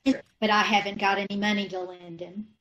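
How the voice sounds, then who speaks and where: A woman's voice: mid-range voice, level tone, normal speed, fairly bright tone, a little hiss or hum, lightly acted, poor audio. A woman acting a scatterbrained, guileless, literal-minded married woman in an old-time radio comedy. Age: middle-aged. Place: indoors, on a video call.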